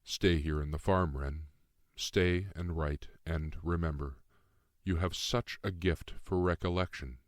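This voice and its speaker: A man voicing a calm, cryptic shape-shifting spirit guide in an audiobook, speaking in a measured, formal way. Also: sits low, dry recording, studio quality. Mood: earnest. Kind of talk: audiobook character dialogue.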